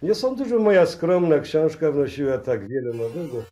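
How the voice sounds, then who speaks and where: A man's voice: on the high side, big pitch swings, moderate pace, dark tone. A man in a 1960s radio play acting a polite, modest professor with a precise, courteous manner.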